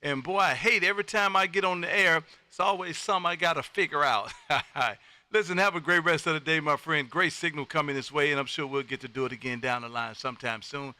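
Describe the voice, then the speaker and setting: A man hosting ham radio contacts, loud, folksy and exuberant in his delivery. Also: on the high side, fairly quick, dry recording. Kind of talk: animated on-air radio contact chat. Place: home radio room.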